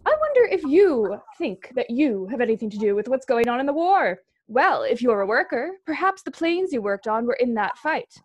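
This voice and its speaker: High-pitched, dry-sounding female radio announcer. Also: big pitch swings, normal speed, hardly any pauses, no room sound, muffled recording. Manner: earnest, exhortative. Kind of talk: scripted 1940s radio war bond appeal.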